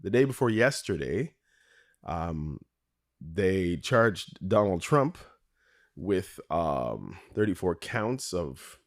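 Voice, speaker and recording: A man with a very low, raspy voice, worn hoarse by a cold, hosts his own podcast alone. He rambles from one aside to the next, correcting himself as he goes and chuckling often. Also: sing-song, takes it slowly. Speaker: podcast host. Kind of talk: casual solo podcast monologue.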